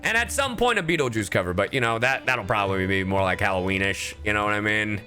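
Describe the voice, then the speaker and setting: A man livestreaming to his viewers, chatting in an expressive, theatrical, sweary way. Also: lowish voice, fast talker. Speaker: streamer, voice actor and cover singer. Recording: music behind. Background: music.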